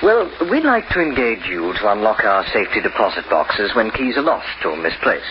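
An actor playing a formal, polite, scheming bank cashier in an old-time radio crime drama. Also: male voice, on the low side, very animated, normal speed. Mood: polite, businesslike. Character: smooth-talking, scheming male bank cashier. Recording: muffled, noisy old radio recording.

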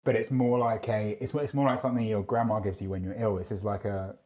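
A young man with a croaky voice co-hosting a podcast, chatting easily about a drink at a brewery table. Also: on the low side, steady tone, quick pace, a little mellow. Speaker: podcast co-host. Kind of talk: casual tasting chat. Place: brewery room with steel tanks, indoors.

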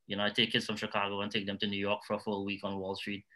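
A man's voice: fairly low pitch, monotone, racing, sharp tone. A middle-aged man with a Trinidadian accent, speaking as a nonprofit founder on a discussion panel. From Trinidad and Tobago. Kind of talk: panel discussion talk, personal stories.

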